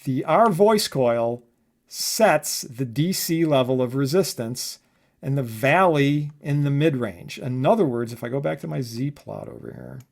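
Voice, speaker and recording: A man's voice: mid-range voice, gravelly, darkish tone. Man teaching, conversational professor. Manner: conversational, often adding check words like "right?" and "okay?". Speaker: electronics professor giving a lesson. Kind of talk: informal lecture explaining equations.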